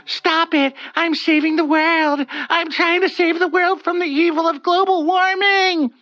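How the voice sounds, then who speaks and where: A storyteller voicing a panicked, tearful young woman alone at sea, pleading in a high, strained voice. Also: normal speed, a bit bright, no echo, dull audio. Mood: desperate. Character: panicked young female sailor, tearful, zealous.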